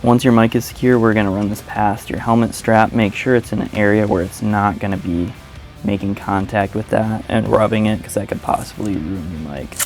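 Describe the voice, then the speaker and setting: A man's voice: lowish voice, fairly even intonation, fairly quick, faint music behind. A man giving a casual, step-by-step how-to in an informal, plain-spoken way, as a video presenter. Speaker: motovlogger presenting a how-to tutorial. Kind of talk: casual step-by-step instructional narration.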